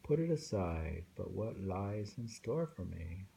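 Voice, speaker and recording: A man reading poems aloud and commenting casually in a slow, musing way, with thoughtful hums. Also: low voice, big pitch swings, gravelly, dark tone, slight background noise. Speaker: reader of translated poetry.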